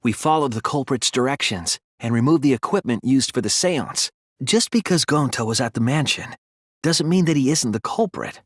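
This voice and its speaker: A man voicing an earnest, soft-spoken teenage boy detective in a video game, reasoning things out deliberately with a slightly breathy voice. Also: medium pitch, animated, no echo, clean studio sound, lightly acted. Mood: focused, explanatory. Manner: deliberate, earnest, analytical explaining with dramatic emphasis. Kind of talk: English video game voice acting, deductive monologue.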